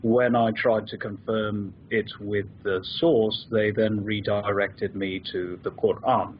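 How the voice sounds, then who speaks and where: A man's voice: fairly low pitch, level tone, slow pace, slight background noise. Hesitant man on a muffled line. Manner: hesitant, many fillers and restarts. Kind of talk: call-in discussion.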